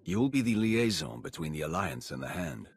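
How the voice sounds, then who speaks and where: An actor playing a stern, skeptical Sith commander in a video game, terse and authoritative. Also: male voice, low voice, big pitch swings, normal speed, slightly rough, full character voice. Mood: formal. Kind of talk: video game cutscene acting.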